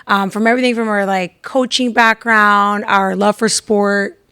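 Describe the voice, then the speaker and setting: A middle-aged woman hosting a casual interview show, chatty and quick to laugh. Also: medium pitch, flat delivery, pushed and bright, smooth, dry recording, clean studio sound. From Canada. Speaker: podcast host.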